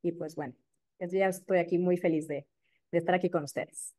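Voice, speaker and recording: A woman in her thirties from Mexico City with a Mexico City accent, a periodontist guest chatting casually and filling pauses with "este" and "eh". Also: lowish voice, fairly even intonation. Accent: Mexico City Spanish. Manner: warm, chatty, many fillers like "este" and "eh". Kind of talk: casual interview chat.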